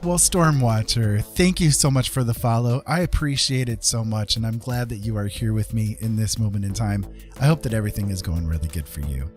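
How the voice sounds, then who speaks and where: A man chatting casually on a livestream as an art streamer, rambling along in a gentle, earnest way. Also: on the low side, fairly quick, soft background music.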